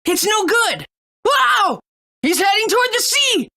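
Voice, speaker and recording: A woman voicing an earnest, excitable young boy adventurer in a video game. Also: very high voice, a little slow, full character voice. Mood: curious, alarmed.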